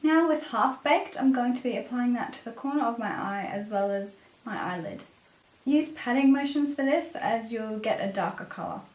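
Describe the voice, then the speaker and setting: A woman with a raspy voice, a bit sick with a cough, presenting a makeup tutorial as a beauty vlogger. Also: on the high side, expressive, moderate pace, darkish tone. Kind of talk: scripted makeup tutorial narration. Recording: roomy echo, distant microphone.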